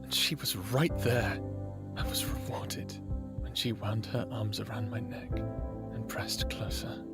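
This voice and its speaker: Slow, halting lovestruck man over music. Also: lowish voice, steady tone. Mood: tender, intimate. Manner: halting, hesitant, earnest. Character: lovestruck human man, earnest and tender, formerly captive. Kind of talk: first-person audio drama acting and narration.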